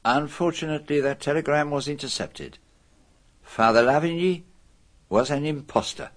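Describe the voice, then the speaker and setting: An actor playing a self-assured, methodical detective in a radio drama, speaking formally with dramatic emphasis. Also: male voice, lowish voice. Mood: grave, revealing. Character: famous male detective, methodical, self-assured, theatrical in revealing a murderer.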